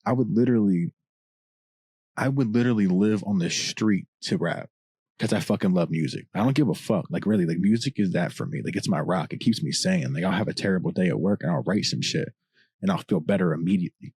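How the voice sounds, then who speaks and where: A man with a deep voice, a rapper talking as a podcast guest, passionate, swearing often and rambling in long answers. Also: lively intonation, fairly quick, gravelly, darkish tone, husky, no room sound, clean studio sound. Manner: passionate, profane, long-winded. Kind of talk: casual candid chat.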